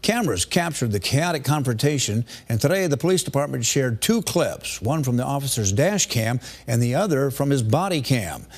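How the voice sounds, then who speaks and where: A man's voice: mid-range voice, big pitch swings, moderate pace, few pauses. An American man reading the news as a television anchor.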